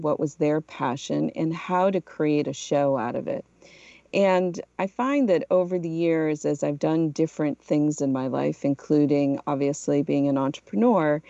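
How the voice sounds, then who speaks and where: A middle-aged woman from Portugal hosting a solo podcast, telling her story in a measured, reflective way. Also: low voice, vocal fry, warm tone, dry recording, dull audio. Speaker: podcast host.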